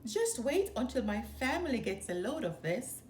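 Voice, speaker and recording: A woman voicing an eager, devoted young male circus mouse in an earnest, expressive storybook read-aloud. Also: a little high, moderate pace. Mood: excited. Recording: roomy echo, faint background noise.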